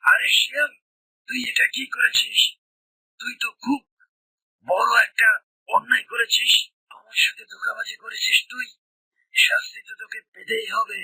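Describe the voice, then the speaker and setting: A performer voicing a hungry, bullying tiger in a children's cartoon, scolding and menacing in a breathy, rasping voice. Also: mid-range voice, little pitch movement, moderate pace, pauses often, pushed and bright, no room sound, full character voice, poor audio. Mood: angry.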